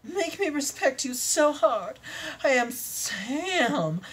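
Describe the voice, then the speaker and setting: A woman's voice: high voice, sing-song, takes it slowly, voice pushed up, full character voice. A woman doing a squealing giddy bad unicorn. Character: giddy over-the-top bad unicorn roleplayer.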